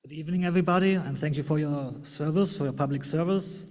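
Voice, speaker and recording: A man's voice: on the high side, fast, a little mellow. A man with a German accent, a German native speaker, earnestly giving a public comment at a board meeting in slightly non-native phrasing. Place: large meeting hall. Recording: long hall reverb.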